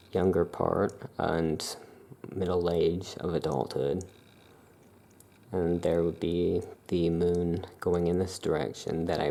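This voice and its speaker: A soft-spoken ASMR performer talking in a hushed, unhurried way with long pauses, playing a calm witch shopkeeper showing wares. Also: deep voice, creaky.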